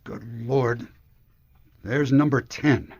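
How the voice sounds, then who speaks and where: An actor playing an anxious, earnest and formal retirement home director in a radio drama. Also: male voice, medium pitch, drawn-out words, a little hiss or hum. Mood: anxious, businesslike. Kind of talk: radio detective drama acting. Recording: dull lo-fi radio broadcast.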